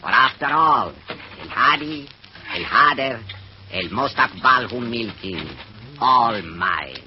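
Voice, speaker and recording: An actor playing a coolly murderous cult leader in 1940s radio drama style, gloating and theatrical. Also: male voice, mid-range voice, expressive, slow pace. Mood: grandiose menace. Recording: muffled, noisy old radio recording.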